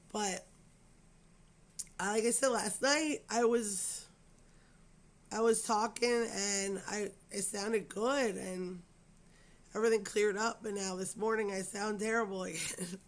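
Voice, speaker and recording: A woman with a cold and a raspy, scratchy voice, hosting a craft channel in a rambling, chatty way and apologising often, full of fillers. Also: medium pitch, steady tone, unhurried, long pauses. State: hoarse from a cold. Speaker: crochet channel host. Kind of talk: casual chat to camera.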